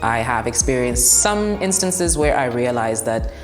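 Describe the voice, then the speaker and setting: A woman's voice: very deep voice, lively intonation, measured pace. A young woman with a commanding voice answering interview questions bluntly and laughing often. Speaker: broadcast journalist and TV presenter. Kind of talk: interview answers, relaxed and frank. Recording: echoey room with long reverb, faint music.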